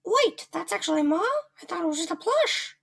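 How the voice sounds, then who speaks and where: A woman's voice: very high voice, sing-song, brisk, vocal fry, far from the mic, full character voice. A woman voicing a small animatronic beaver boy in an audio drama, naive and anxious, stammering and easily flustered. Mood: shocked, upset.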